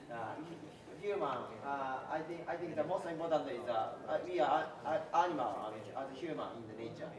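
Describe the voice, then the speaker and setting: A man in his twenties pitching his startup in halting speech, full of fillers and restarts. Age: 20s. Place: large event hall. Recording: roomy hall from far off, crowd noise.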